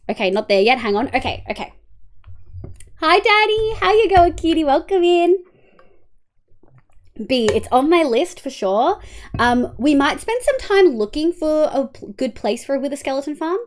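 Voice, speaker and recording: A young Australian woman streaming live, chatting casually with her viewers and walking them through settings, her voice congested and nasal. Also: sits high. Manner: chatty, talks to chat, hums to herself. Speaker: livestreamer. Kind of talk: casual livestream chat and walkthrough.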